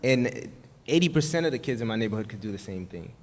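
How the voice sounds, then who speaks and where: A man's voice: medium pitch, lively intonation, fast, a little mellow. A man from Belgium, a native French speaker, talking casually on a panel about growing up with rap.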